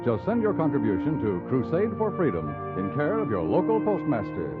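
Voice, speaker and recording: Animated American radio announcer, muffled old recording. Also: male voice, mid-range voice, fairly quick. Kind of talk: 1950s network radio announcing. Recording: muffled 1950s radio recording, loud music.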